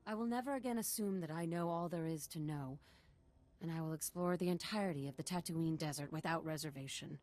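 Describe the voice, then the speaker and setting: A woman's voice: deep voice, a little fast, darkish tone, natural voice. An actress voicing a respectful, admiring female desert explorer in a video game, speaking earnestly and formally. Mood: earnest wonder. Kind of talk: scripted video game acting.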